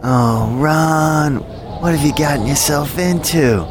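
A man's voice: on the high side, takes it slowly, a crowd in the background. A man playing a cocky, wisecracking lawman and reluctant hero in a 1940s-style radio play. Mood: wry, cocky.

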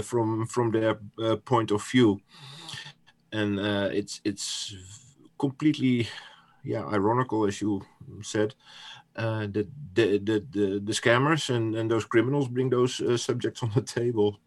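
A man from the Netherlands with a Dutch accent, a non-native English speaker, talking casually as a guest with many hesitations and restarts. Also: fairly low pitch. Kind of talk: casual podcast conversation.

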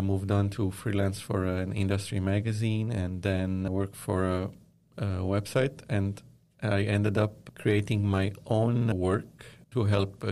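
A man from Lebanon answering interview questions, speaking in a measured way and often pausing with "uh". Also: fairly low pitch, gravelly, a little mellow. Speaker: guest, media company founder.

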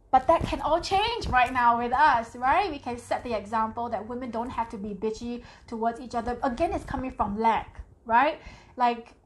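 A woman from Singapore, hosting a casual spiritual live talk and chatting through viewer comments. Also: a little high. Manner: chatty, reads viewer comments aloud, tags "right?". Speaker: live stream host.

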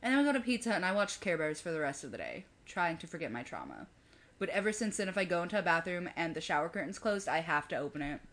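A woman's voice: fairly low pitch, big pitch swings, fast, fairly bright tone. Female video co-host, casual storyteller, wry and self-deprecating.

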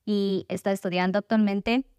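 A woman's voice: mid-range voice, steady tone, moderate pace, a little mellow, smooth, no room sound. A woman with an Ecuadorian accent hosting a podcast in a conversational style. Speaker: podcast host.

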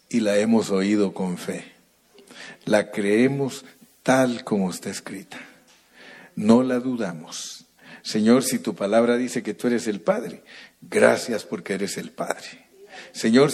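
A man preaches at an evening church service with a deliberate, unhurried delivery in a somewhat low voice. He stresses key words and builds into a fervent, rising prayer. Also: darkish tone. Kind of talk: sermon and prayer.

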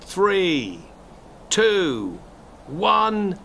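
A man's voice: high voice, big pitch swings, very slow, vocal fry, bright, forceful tone, slight background noise. A man hosting a scripted on-location audio report, speaking theatrically and straight to the listener. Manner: theatrical, addresses the listener directly. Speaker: podcast host and narrator.

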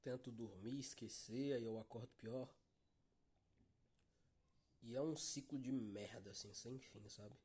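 A man's voice: medium pitch, measured pace, pauses often, dark tone, a little hiss or hum. A young Brazilian man with a Brazilian Portuguese accent hosting a solo podcast, talking in a weary, downcast way with heavy swearing and calling his listeners "guys". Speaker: podcast host. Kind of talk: solo confessional podcast monologue.

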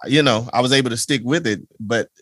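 A laid-back man hosts a podcast and chats easily, laughing often. His mid-pitched voice sounds dry and close, with no room around it. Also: a little fast, a bit bright, no echo. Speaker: podcast host. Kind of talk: casual conversation.

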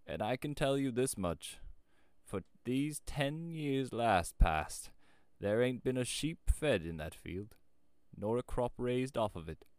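A man's voice: mid-range voice, smooth, no echo, voice pushed up. Casting: male reader as elderly country shepherd for a storybook, grave and emphatic, rustic turns of speech, very dry recording. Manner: rustic dialect speech, slow emphatic warnings. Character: old rustic shepherd, grave, startled, warning. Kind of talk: storybook character voice.

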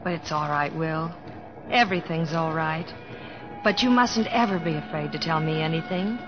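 An actress playing a gentle, devoted young bride, tender and earnest, in an old-time radio drama. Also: female voice, sits low, moderate pace, natural voice. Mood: reassuring, devoted. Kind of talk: 1940s-50s radio drama acting and narration. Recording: dull old-time radio recording, music behind.

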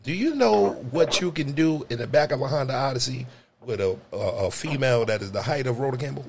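Profane, loud joking New man. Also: lively intonation, brisk, vocal fry, slight background noise. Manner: loud, profane, joking, swings pitch high when riffing. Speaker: podcast host. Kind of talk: crude comedic banter.

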